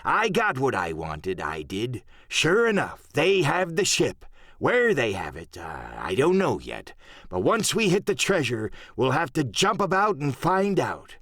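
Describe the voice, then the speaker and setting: Audiobook pirate sea cook: cunning, folksy, swaggering, highly animated delivery, close dry recording. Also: male voice, measured pace, no room sound, heavily acted. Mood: sly, boastful. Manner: rough sailor talk, folksy and scheming. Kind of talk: audiobook character performance.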